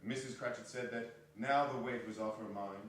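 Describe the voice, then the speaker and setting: A man's voice: mid-range voice, steady tone, moderate pace. A man narrating a story in a theatrical style as part of a staged dramatic reading. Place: indoor performance hall. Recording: noisy echoey hall from far off.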